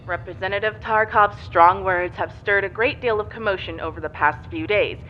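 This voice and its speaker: News anchor, played by a woman: formal, composed, slightly muffled broadcast with faint rumble. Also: lightly acted. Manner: formal newsreader delivery. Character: composed female news anchor in sci-fi future.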